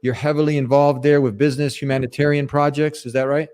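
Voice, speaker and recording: A male host interviews in a casual, conversational style. His voice is a little higher than average for a man, and he informally reworks his questions and summaries while speaking. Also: level tone, quick pace, a little mellow.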